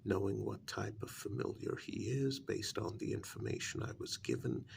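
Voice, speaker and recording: A man playing a calm, calculating vampire clan member in a soft-spoken ASMR role-play, speaking in a measured, hushed way with deliberate pauses. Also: on the low side, creaky, a little hiss or hum. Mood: calm, scheming.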